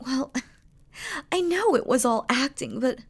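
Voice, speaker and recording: A woman speaking in a concerned, apologetic manner in a gentle, intimate scripted roleplay. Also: high voice, lively intonation, slow pace, creaky, breathy edge. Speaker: voice actress.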